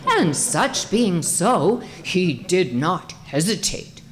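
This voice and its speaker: A woman's voice: fairly low pitch, sing-song, slow pace. A woman reading a comic short story aloud as its narrator, in a scripted storytelling style.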